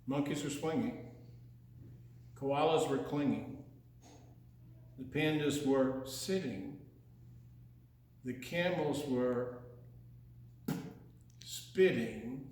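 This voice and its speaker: A man's voice: medium pitch, extremely slow, long pauses, darkish tone. A man reading a story aloud to children in a theatrical, playful way, drawing out his words and adding asides. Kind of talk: animated read-aloud storytime with asides and sound imitations. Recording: very echoey room.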